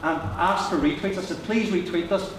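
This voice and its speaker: A man's voice: high-pitched, moderate pace. A man with a Northern Irish accent, a teacher giving a short, chatty, joking talk to an audience of teachers. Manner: chatty, joking, self-deprecating. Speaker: teacher presenting at a teacher meetup. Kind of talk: short live presentation to an audience. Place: large lecture hall with an audience. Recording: echoey hall from a distance, crowd noise.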